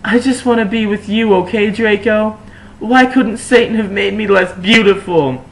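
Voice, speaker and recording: A man voicing a melodramatic, vain, foul-mouthed teenage goth girl in a whiny, indignant shout for a story reading. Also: sits high, moderate pace, creaky, voice pushed up, rough recording. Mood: whiny, anguished.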